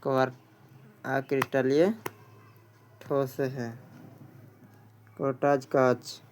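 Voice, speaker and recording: A male teacher works through exam practice questions and their answers, often saying a question twice. His delivery is slow and quite monotone, at a middling pitch. Some noise sits behind the voice. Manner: repeats questions before answering. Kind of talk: exam quiz lesson, reading questions and answers.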